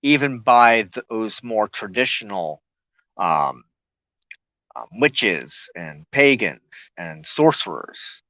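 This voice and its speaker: Guy hosting a spiritual talk, slow n halting, keeps searching for words, kinda muffled dry audio. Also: lowish voice, expressive, pushed and bright, no room sound. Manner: halting, pausing often mid-sentence while searching for words. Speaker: host of a spiritual talk show. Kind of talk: loose explanatory talk on a spiritual broadcast.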